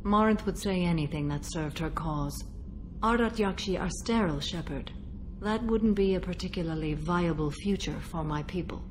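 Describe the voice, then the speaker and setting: Lady doing a serious stoic space warrior mom, game dialogue, deepish voice, noisy room tone behind. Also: close to an everyday voice. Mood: stern, matter-of-fact. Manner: measured, formal, grave. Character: solemn, dutiful alien warrior mother, stoic and composed. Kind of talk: video game dialogue acting.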